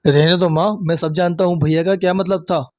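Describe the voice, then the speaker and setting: A man's voice: high voice, steady tone, fairly quick, no room sound, close to an everyday voice. Man doing a mild obliging husband, storybook drama, dry close recording. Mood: resigned.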